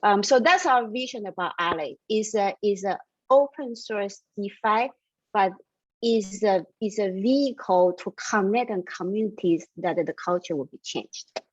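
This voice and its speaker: A woman's voice: mid-range voice, measured pace, husky, no room sound, dull audio. A middle-aged woman, a startup founder from Taiwan raised in Austria, answering interview questions earnestly with plenty of fillers. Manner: earnest and enthusiastic, with frequent fillers and occasional laughs.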